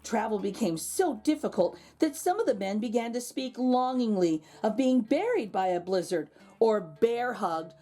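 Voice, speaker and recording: A woman reading a picture book aloud to children as an expressive storyteller, with exclaimed asides to her young listeners. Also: a little high, normal speed, hardly any pauses. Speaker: children's read-aloud host.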